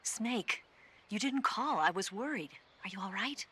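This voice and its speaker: Teasing young woman spy, confident, bright crisp tone, medium pitch, noisy recording, video game. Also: animated, unhurried, heavily acted. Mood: concerned.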